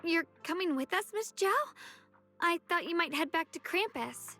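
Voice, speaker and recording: A woman's voice: very high-pitched, expressive, normal speed, pushed and bright, soft background music, exaggerated voice. An earnest, trusting young girl. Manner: earnest, eager, a little flustered. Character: earnest, trusting young woman, devoted sister.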